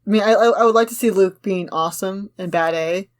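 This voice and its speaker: A woman's voice: mid-range voice, fast. Opinionated woman in casual discussion. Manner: opinionated, argumentative. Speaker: podcast co-host. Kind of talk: casual discussion, reading a script aloud with commentary.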